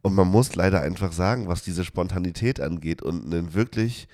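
A man co-hosting a casual podcast chat, sounding nasal and congested from a cold, chatty and quick to laugh. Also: low voice, darkish tone, no room sound, clean studio sound. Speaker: podcast co-host.

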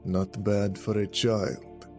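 A man's voice: level tone, slow pace, a little mellow, soft background music. A man voicing a condescending, threatening fighter with a foreign accent and stilted, formal phrasing, in an audiobook. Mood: condescending, irritated. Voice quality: deep.

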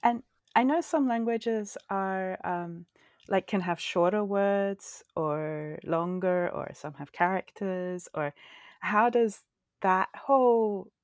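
A woman's voice: mid-range voice, slow, no echo, clean studio sound. A woman co-hosting a podcast, asking a question in a tentative, casual way. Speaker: podcast co-host.

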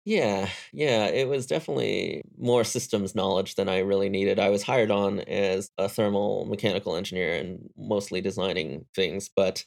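A young adult man, a guest engineer, chatting in a relaxed interview and telling stories with frequent restarts and chuckles. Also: low-pitched, moderate pace, vocal fry, few pauses. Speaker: guest, engineer and startup founder. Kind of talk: casual interview conversation.

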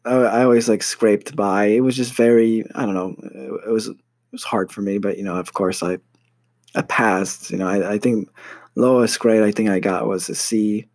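A man's voice: on the low side, fairly even intonation, moderate pace, darkish tone, dry recording. A young American man telling a personal story about his studies in a casual, hesitant way, full of fillers and restarts. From United States. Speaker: university student.

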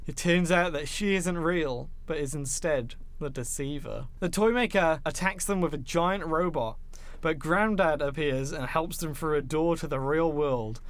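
A man with a fairly high voice hosts a casual film podcast. He recaps the plot conversationally, with irreverent commentary and some swearing. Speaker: podcast host.

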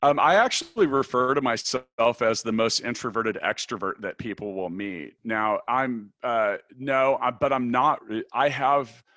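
A man's voice: unhurried, hardly any pauses, bright, forceful tone, dry recording. Big-voiced man in a dry interview. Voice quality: big, deep voice. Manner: thoughtful, many restarts and fillers. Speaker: podcast guest, business founder. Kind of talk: conversational interview answers.

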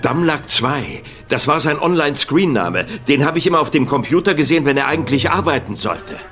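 A man's voice: fairly high pitch, lively intonation, fairly quick, a bit bright, soft background music. An actor playing a worried, polite middle-aged father in a dubbed comedy crime series.

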